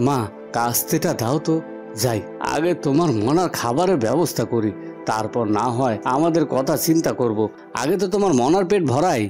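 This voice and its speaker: A man's voice: mid-range voice, animated, moderate pace, a little mellow, natural voice. A man voicing a poor young village son in a cartoon, grumbling yet dutiful and earnest. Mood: sleepy, grumbling. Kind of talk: cartoon voice acting. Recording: music behind the voice.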